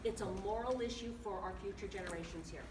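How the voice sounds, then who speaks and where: A woman's voice: mid-range voice, quick pace. A woman, a local preservation advocate, giving a firm, principled public comment at a meeting. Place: community meeting room. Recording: echoey hall, distant, noisy.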